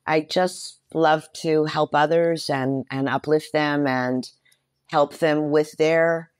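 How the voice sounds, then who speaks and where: A woman's voice: low voice, fairly even intonation, slow pace, smooth, dry recording, studio quality. A middle-aged woman speaking earnestly and reflectively in a casual interview, often affirming what she hears. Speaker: podcast guest, performer and mentor.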